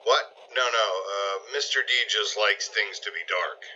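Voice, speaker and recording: A man plays a hulking, brutish servant in an audio comedy, gruff and put-upon. His voice sits on the low side with a very sharp, forceful edge, and he grumbles bluntly. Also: a little slow, lo-fi sound, exaggerated voice. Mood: defensive. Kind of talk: comedic audio play acting.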